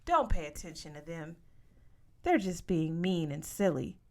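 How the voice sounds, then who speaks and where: A woman's voice: lowish voice, big pitch swings, a little slow, dark tone. A woman voicing a kind, upbeat female PE coach in a story, with a friendly, coaxing manner. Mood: reassuring.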